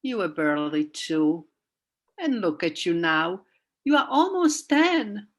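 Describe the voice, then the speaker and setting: A woman acting as a proud widowed mother in a radio play, with a measured, deliberate delivery. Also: lowish voice, very animated, heavily acted. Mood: tender, wistful.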